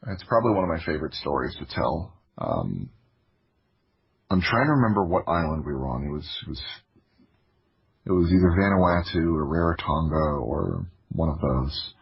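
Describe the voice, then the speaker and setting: A man's voice: rumbling low, expressive, normal speed, gravelly, slightly rough, muffled recording. A man from Florida telling personal stories as an interview guest, speaking reflectively and restarting his phrases. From Florida, United States. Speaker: podcast guest, audio drama creator.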